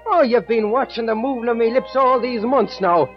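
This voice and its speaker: A man's voice: very high voice, moderate pace. An actor playing a young Irishman in love in a 1940s radio play, speaking with an Irish accent in an earnest, pleading way. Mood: amazed. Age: young adult. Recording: dull old radio recording, faint music.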